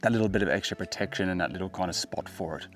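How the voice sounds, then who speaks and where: A man's voice: low voice, very fast. A middle-aged Australian man giving a casual, conversational product review in a laid-back way. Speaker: tech vlogger, product reviewer.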